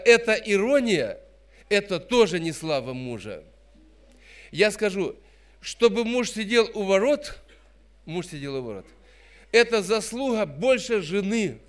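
Проповедник с высоким голосом, мужчина за пятьдесят. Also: male voice, high-pitched. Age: 50s. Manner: emphatic, repeats phrases for stress; admonishing, didactic. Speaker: preacher. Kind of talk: sermon.

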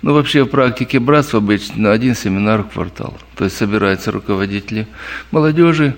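Gravelly man telling church stories. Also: on the low side, quick pace. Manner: lively anecdotal telling, addresses listeners as brothers. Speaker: church minister answering questions. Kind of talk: storytelling and answering at a church meeting.

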